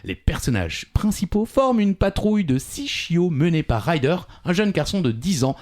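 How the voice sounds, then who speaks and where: Animated man co-hosting a quiz podcast. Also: a little high, moderate pace. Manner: playful, joking. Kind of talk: casual comedic quiz banter.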